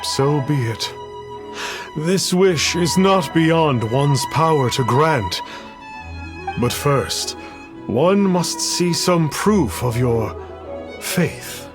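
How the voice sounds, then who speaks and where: An actor playing a pompous self-proclaimed mystic sage in a video game, speaking in a grandiose, archaic way and calling himself "one". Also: male voice, a little high, expressive, very slow, long pauses, over music. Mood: grand, cryptic. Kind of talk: theatrical video game dialogue.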